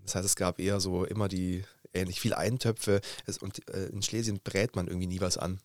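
A young adult man with a slight cold, co-hosting a podcast in a relaxed, joking way with many fillers. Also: sits low, fairly quick, no echo, clean studio sound. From Germany. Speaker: podcast co-host. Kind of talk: casual chat.

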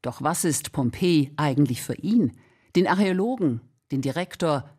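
A woman's voice: low-pitched, animated, moderate pace, a little mellow, husky. A woman reporter reading scripted narration for a radio feature.

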